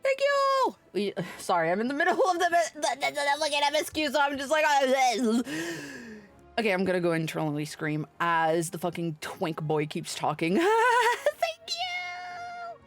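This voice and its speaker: Woman livestreamer with very lively pitch. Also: a little slow. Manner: irreverent, swearing, teasing. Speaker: livestreamer playing a game. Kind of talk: livestream chat and reading game dialogue aloud. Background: game music.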